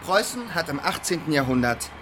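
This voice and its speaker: A man's voice: on the high side, sing-song, brisk, noisy background. A man playing an eager, wry history explainer in a radio play, telling it with dramatic build-up. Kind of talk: radio play acting, narrated dialogue. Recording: music behind the voice.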